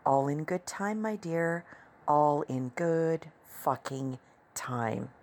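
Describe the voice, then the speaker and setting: A man's voice: on the high side, lively intonation, slow, pitched above the performer's own voice. A man voicing a teasing, dominant adult woman in an audio story, coaxing and confident. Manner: coaxing pet names, teasing commands.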